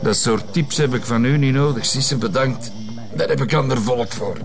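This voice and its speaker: A man's voice: medium pitch, normal speed, creaky, music in the background, natural voice. An actor playing a stressed, curt man making shady deals, with a Flemish accent, in a naturalistic TV drama. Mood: tense, curt.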